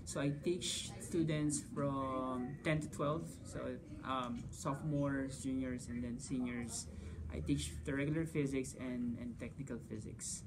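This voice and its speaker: A man's voice: mid-range voice, steady tone, measured pace. Man speaking in a noisy room. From Philippines. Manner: gestures while talking, frequent "you know" and "like". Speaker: physics teacher. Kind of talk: casual interview answers. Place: school conference room. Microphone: camera across the table.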